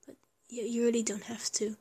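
A woman playing a breathy, whispering small girlfriend. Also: fairly high pitch, little pitch movement, normal speed, dark tone. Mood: tender. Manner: stammering, rambling, giggly. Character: small affectionate young woman, shy but sweary lover. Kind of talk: whispered intimate roleplay audio.

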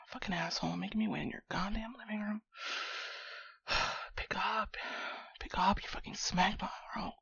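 A woman acts out a brash, protective young female buddy in an audio roleplay drama. Her voice is breathy and husky, with an unhurried, slangy, profane way of talking straight to a friend. The sound is roomy and a little dull. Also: mid-range voice, expressive, full character voice. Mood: muttering, suspicious. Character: foul-mouthed, hot-tempered but protective young friend, finding shrunken buddy. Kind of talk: immersive one-sided audio roleplay drama.

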